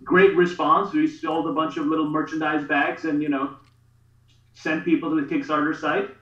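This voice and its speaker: A man's voice: a little high, level tone, moderate pace, a bit bright. Earnest Pakistan-born man on a video call. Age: 30s. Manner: earnest, rambling with many fillers. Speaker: guest, artist promoting a campaign. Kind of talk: casual video call interview. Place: home studio room. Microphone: webcam on a video call. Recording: roomy, dull video call audio.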